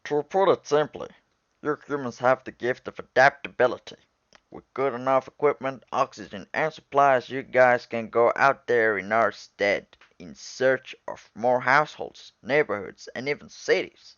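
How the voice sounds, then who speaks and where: A man voicing a patient, proud aquatic alien commander in an animated film, explaining things in a formal, measured way. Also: mid-range voice, dry recording, dull audio, natural voice. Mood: calm, authoritative. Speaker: commander briefing trainees.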